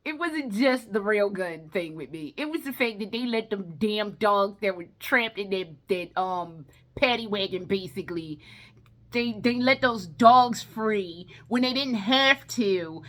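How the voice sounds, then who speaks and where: A woman's voice: mid-range voice, expressive, unhurried, a bit bright. A woman giving casual, chatty commentary in a blunt, exasperated way, with plenty of slang and swearing. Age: adult, no longer young. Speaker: vlogger reviewing films.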